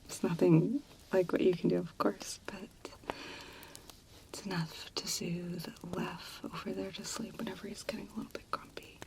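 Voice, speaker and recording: A woman playing a friendly, confiding medieval fantasy tavern server in a hushed, gentle ASMR role play. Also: fairly low pitch, level tone, moderate pace, gravelly, noisy background. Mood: gentle, welcoming.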